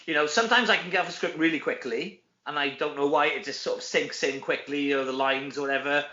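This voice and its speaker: Welsh male actor as interview guest, higher-pitched and bright, jokey and chatty, recorded in an echoey room. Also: quick pace. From Wales. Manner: animated, self-deprecating joking. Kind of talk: casual chat interview. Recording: dull, echoey room sound.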